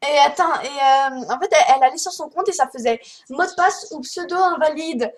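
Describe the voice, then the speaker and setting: A young girl with a high-pitched voice talks casually, as if improvising on a prank call. She rambles, hesitates and repeats herself as she tries to explain things. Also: fairly quick. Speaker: prank caller, video host.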